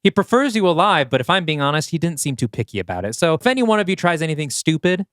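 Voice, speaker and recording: A man's voice: fairly high pitch, sing-song, quick pace, fairly bright tone, dry recording, studio quality, voice pushed up. A man voicing a smug, threatening male leader of armed raiders in an audio play. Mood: menacing. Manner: threatening, sneering.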